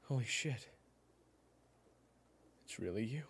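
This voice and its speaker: A man playing a worried, exasperated friend in an audio roleplay, speaking haltingly and naturalistically. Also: medium pitch, animated, vocal fry, slightly rough, exaggerated voice. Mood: urgent, calling out. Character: worried, exasperated male friend of an amnesiac, gently explaining. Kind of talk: audio roleplay acting, one-sided dialogue.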